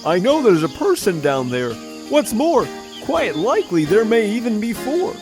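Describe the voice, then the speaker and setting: A performer plays a gentle male elephant for a children's audio story. The delivery is sincere and pleading, with wide swings of pitch. Music runs underneath. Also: sing-song, normal speed. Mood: earnest, pleading. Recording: music behind the voice.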